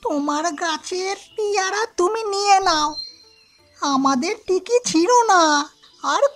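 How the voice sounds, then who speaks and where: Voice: extremely high pitch, takes it slowly, fairly bright tone, faint music behind, full character voice. A performer voicing a frightened young village boy in a cartoon, pleading and whiny. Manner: pleading, wailing. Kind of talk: Bengali cartoon acting.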